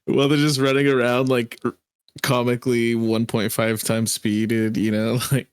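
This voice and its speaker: A man's voice: medium pitch, flat delivery, normal speed, clear, resonant tone, dry recording, clean studio sound. A man livestreaming casual reaction commentary in informal slang, often laughing as he talks.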